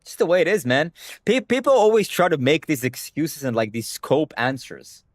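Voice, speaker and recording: A man's voice: fairly high pitch, lively intonation, normal speed, no echo, studio quality. A young man whose first language is Hebrew, talking bluntly to his stream chat and swearing freely. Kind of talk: casual livestream rant.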